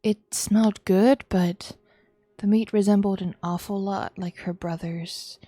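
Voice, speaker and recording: A woman streamer reading a visual novel aloud as expressive, dramatic narration. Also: mid-range voice, a little slow, no room sound. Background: music.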